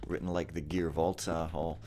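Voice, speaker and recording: A man's voice: extremely low pitch, level tone, moderate pace, a little hiss or hum. A young man giving casual, wry, rambling commentary while playing a game. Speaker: let's player.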